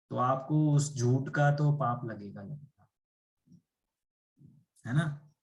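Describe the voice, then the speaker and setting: A man's voice: medium pitch, darkish tone. A man teaching a spiritual class, explaining patiently and asking rhetorical questions. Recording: echoey room.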